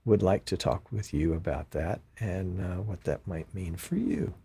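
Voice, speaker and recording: A middle-aged man hosting a casual streamed talk show, chatty and quick to joke and laugh with his guest. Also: low voice, creaky, dark tone, breathy edge, dry recording. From Gulf Coast near Mobile, US. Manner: chatty, jokey, laughs easily. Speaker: show host. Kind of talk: casual interview chat.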